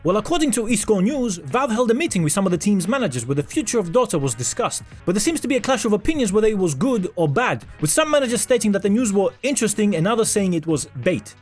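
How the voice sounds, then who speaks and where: A man's voice: high voice, big pitch swings, fast, hardly any pauses, faint music behind. A man hosting a scripted esports news video in a casual, sarcastic, jokey way with some swearing. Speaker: video host.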